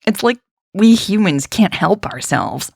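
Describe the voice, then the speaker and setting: A woman's voice: medium pitch, expressive, unhurried, creaky, husky, dry recording, studio quality, pitched above the performer's own voice, full character voice. A woman playing an opinionated male podcast co-host, musing emphatically in an audio drama.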